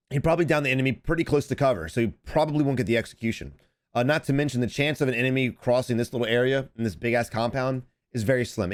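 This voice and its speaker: A man in his thirties giving casual coaching commentary over gameplay from a home streaming setup, his voice a little hoarse from streaming. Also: medium pitch. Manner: rapid, repetitive, advice-giving. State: slightly hoarse from screaming on stream.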